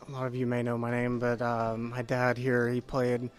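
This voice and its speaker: A young man answers interview questions politely, with little rise and fall in his voice. He pauses often and fills the gaps with ums, and faint music plays in the background. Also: mid-range voice, completely flat delivery. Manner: polite and soft-spoken, says yes sir, many fillers. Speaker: guest, group sales consultant. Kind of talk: casual interview.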